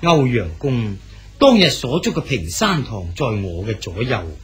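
A chill cantonese poet official, husky, slow, swings his pitch a lot. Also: big pitch swings, exaggerated voice. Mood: genial. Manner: measured, declaims poetry slowly. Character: Song dynasty poet official, genial and cultured. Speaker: Su Dongpo character in a radio drama. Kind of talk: 1980s style Cantonese radio drama acting.

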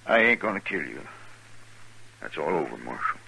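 An American actor playing a repentant, weary ex-convict in a radio western, with halting rustic speech. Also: male voice, a bass voice, big pitch swings, gravelly, pushed and bright, breathy edge, heavily acted, rough recording. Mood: weary, contrite. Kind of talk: 1950s radio western acting. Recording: 1950s radio recording.

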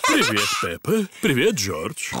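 A man's voice: medium pitch, huge pitch swings, takes it slowly, very noisy. A man voicing a jolly, kindly pig father in a children's cartoon. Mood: jolly, encouraging.

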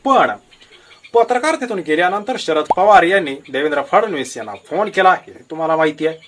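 A man with a Maharashtrian Marathi accent delivers political commentary straight to his viewers. His voice sits fairly high and swings widely in pitch. He explains things emphatically, like someone telling a story, throwing in rhetorical questions as he goes. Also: lively intonation, fairly quick, a bit bright, slight background noise.

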